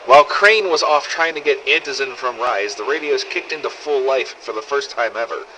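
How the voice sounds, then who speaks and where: An actor playing a foul-mouthed, cynical zombie-apocalypse survivor, narrating a wry audio diary through an old cassette recorder filter. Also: male voice, mid-range voice, moderate pace, pushed and bright, poor audio. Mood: grim, subdued. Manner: sweary, sardonic, world-weary storytelling. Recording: old cassette tape with faint noise.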